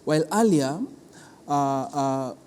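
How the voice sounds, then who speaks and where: A Kenyan man with a soft, mellow voice pitched a little high explains things in an interview. He speaks deliberately and haltingly, repeating words for emphasis. The sound rings with long hall reverb. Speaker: arts institution official being interviewed. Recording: long reverberant hall, clear background noise.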